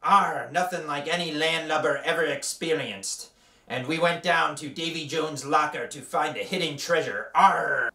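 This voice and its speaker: A swaggering pirate captain, as a man puts him on, all bluster and threats of the plank. His voice sits fairly high and bright, with hard edges. The room echoes around it, picked up from some way off the mic. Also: normal speed, far from the mic. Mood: boastful. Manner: growls "arr", threatening, bragging. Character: boastful gruff male pirate captain.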